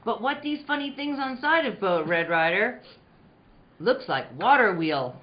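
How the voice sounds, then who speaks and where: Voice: medium pitch, expressive, unhurried, lo-fi sound, lightly acted. An actor playing a loyal, curious boy sidekick in an old-time radio western, in clipped, stilted broken English.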